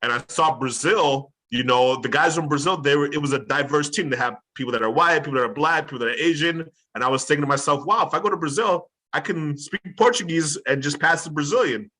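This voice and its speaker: An American man from Chicago, a guest in a casual conversation, telling stories in a relaxed, agreeable way. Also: fairly high pitch, fast talker, hardly any pauses, low-quality recording. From Chicago, USA. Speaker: interview guest, polyglot.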